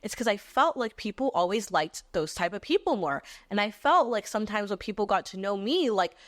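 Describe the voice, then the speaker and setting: A woman in her twenties from Texas hosting a podcast, talking in an animated, casual monologue that moves between a squeaky voice and a lower one, with frequent casual swearing. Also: a little fast, no room sound, studio quality. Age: 20s. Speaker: podcast host.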